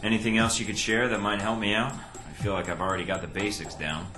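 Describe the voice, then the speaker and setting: A man's voice: lowish voice, fairly even intonation, fast talker, lightly acted. An actor playing a young male spy recruit in a video game, wry and laconic, with dry understatement. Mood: cool, curious. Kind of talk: video game cutscene acting. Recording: roomy echo, music behind.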